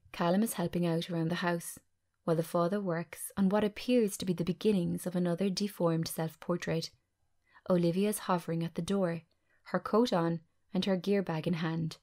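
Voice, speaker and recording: Low-pitched woman narrating a dramatic script. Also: fairly even intonation, moderate pace, warm tone. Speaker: narrator reading a screenplay.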